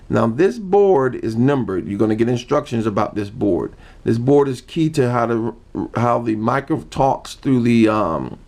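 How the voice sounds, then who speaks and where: A man giving an informal how-to demonstration, explaining step by step in a halting manner. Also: mid-range voice, a little mellow. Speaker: hobbyist demonstrator. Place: indoor room.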